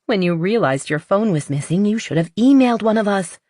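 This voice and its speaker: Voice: medium pitch, expressive, brisk, a little mellow, no room sound, studio quality, voice pushed up, close to an everyday voice. A narrator voicing a talkative, exasperated young woman with a haughty but playful streak in an audiobook. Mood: indignant. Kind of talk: audiobook character dialogue.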